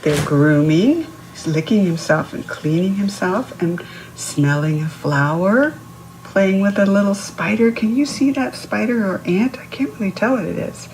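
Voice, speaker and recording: A woman's voice: medium pitch, animated, a little slow, darkish tone, a little hiss or hum, rough recording. A woman reading a picture book aloud to young children, instructive, posing questions to the listener and explaining words. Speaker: children's read-aloud host.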